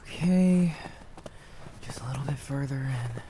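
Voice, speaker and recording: A man's voice: medium pitch, a little slow, mellow, a little hiss or hum, close to an everyday voice. A man playing a nervous, easily spooked newcomer who mutters to himself in a radio drama. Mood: awed, uneasy.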